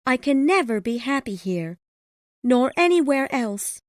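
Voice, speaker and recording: An anxious young woman, guarded and sorrowful, pleading in a raised, strained voice, as a male storyteller puts her on. Her speech swings up and down with lively pitch and drawn-out, slow phrasing. The sound is close and dry. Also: no echo, exaggerated voice. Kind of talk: audiobook character voice.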